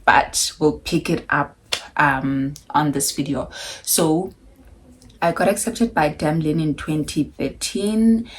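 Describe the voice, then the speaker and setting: A woman's voice: deep voice, takes it slowly, husky, rough recording. A South African woman in her thirties talking to camera in a chatty, candid vlog with wry asides. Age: 30s. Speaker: vlogger.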